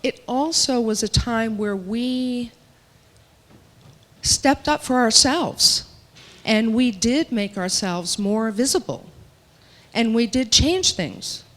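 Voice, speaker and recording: A woman speaking at a panel discussion, voicing for a panelist in a measured, earnest way with some emphatic stretches. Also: a little high, animated. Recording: long reverb of a large hall.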